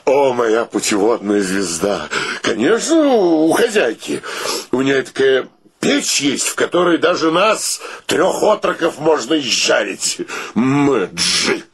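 A gravelly, husky rogue clowns and flirts in florid phrases. He takes his time, in a theatrical radio play style. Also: medium pitch, sing-song, takes it slowly, heavily acted. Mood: gallant, exuberant. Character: clownish, flirtatious male friend, grandiloquent lovable rogue.

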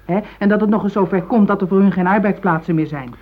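An actress playing a pragmatic, pushy middle-aged wife, insistent and reproachful, in a radio play. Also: female voice, mid-range voice, quick pace, a little hiss or hum, lightly acted. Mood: insistent, scolding. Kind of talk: Dutch radio play acting. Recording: thin, phone-like old radio play recording.

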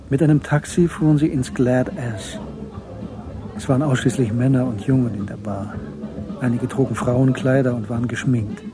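A man narrating a German literary radio play in a measured, sober storytelling manner. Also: medium pitch, natural voice. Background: music.

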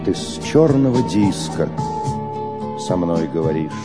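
A man delivering poetic song lyrics in a drawn-out, lyrical manner. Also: low-pitched, lively intonation. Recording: loud music over the voice. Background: prominent instrumental music.

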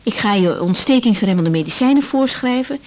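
An actress playing a young woman doctor in a 1980s Dutch radio drama, caring, brisk and direct in a conversational way. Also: fairly high pitch, animated, a little mellow, slight background noise, lightly acted.

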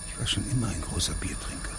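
An actor playing a proud, sceptical middle-aged judge in a German radio play, with a terse, dry, questioning manner. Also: male voice, low voice, fast, close to an everyday voice. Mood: uneasy, defensive.

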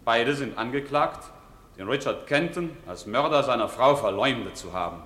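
A man's voice: medium pitch, lively intonation, moderate pace, fairly bright tone, lightly acted. A man acting an eloquent, insistent defence lawyer in a 1950s courtroom radio drama, with formal, pressing rhetorical questions. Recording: echoey hall, faint hiss, old radio recording.

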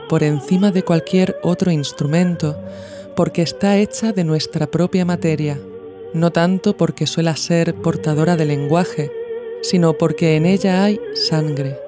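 A man from reads a scripted literary audio essay in a measured, formal way. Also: a little high. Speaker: podcast narrator. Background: music.

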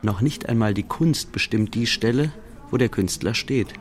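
A man playing a wry, measured storyteller, narrating a radio play in rhythmic, deliberate phrases. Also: lowish voice, husky. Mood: measured, reflective. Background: quiet guitar music.

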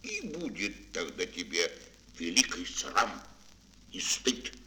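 Актёр играет строгого царя в детском аудиоспектакле, торжественно и декламационно. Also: male voice, deep voice, wildly swooping pitch, slow pace, sharp tone, exaggerated voice. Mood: commanding, threatening. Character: stern tsar threatening a prince with disgrace. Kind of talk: fairy-tale audio play acting. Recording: old mono record, faint noise.

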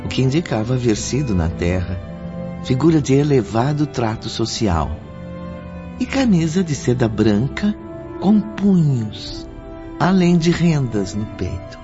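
A grave storyteller narrates a Brazilian radio drama slowly and theatrically. His lowish voice swings expressively, with music behind it. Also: sing-song. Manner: theatrical, deliberate, solemn. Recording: dull lo-fi audio under music. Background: background music.

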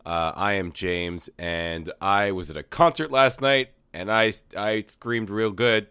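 A young man hosting a casual movie podcast, a little hoarse, talking with lots of fillers and restarts. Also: lowish voice, fairly even intonation, bright, forceful tone, no echo, studio quality. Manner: halting, many fillers and restarts. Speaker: podcast host.

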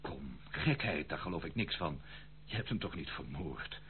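An actor playing a charming, spendthrift prodigal son in an old Dutch radio play, pleading in a theatrical, hesitant way. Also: male voice, very deep voice, animated, moderate pace. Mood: concerned. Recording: muffled phone-like old radio recording, hum.

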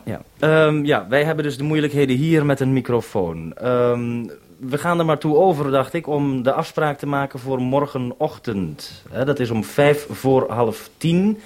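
A man's voice: medium pitch, unhurried, darkish tone. A man speaking as a radio operator, using call procedure and repeating phrases to be understood. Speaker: radio programme maker, base operator. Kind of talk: two-way radio procedure talk.

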